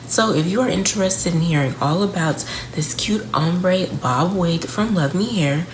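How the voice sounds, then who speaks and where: A woman's voice: on the low side, unhurried. A young woman reviewing a wig for her channel, a little congested from a cold, chatty and talking straight to her viewers. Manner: chatty, addresses viewers directly. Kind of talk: casual beauty review vlog. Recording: noisy home recording.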